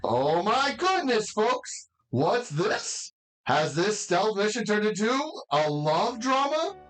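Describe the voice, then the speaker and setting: A woman voicing a living TV set, a loud theatrical broadcast host with exaggerated announcer patter, in an audio drama. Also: mid-range voice, big pitch swings, slow, soft background music, poor audio. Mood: showy, excited.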